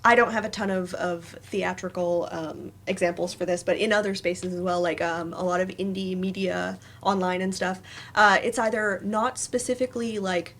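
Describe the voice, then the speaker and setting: A woman giving her thoughts in a casual panel discussion, hesitant and halting as she searches for words. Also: lowish voice, steady tone, fairly bright tone. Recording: noisy room ambience.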